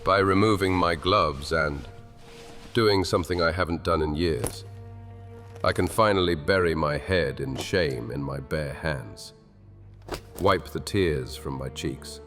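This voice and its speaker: An actor playing a grieving, desperate king in a dark fantasy audio drama, speaking in slow, solemn, measured threats. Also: a bass voice. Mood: sorrowful. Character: grieving cursed old king, grave and desperate. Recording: reverberant with soft music behind.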